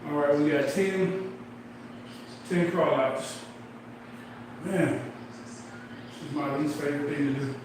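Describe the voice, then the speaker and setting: A man's voice: a little high, slow pace, long pauses. A man in his forties from Missouri, counting reps aloud and urging himself on through a late-night workout, out of breath. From Midwest, Missouri. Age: 40s. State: out of breath from exercise. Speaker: fitness livestreamer. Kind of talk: casual workout livestream chat, counting reps. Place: home workout space at night. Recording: echoey room, mic far off, noisy background.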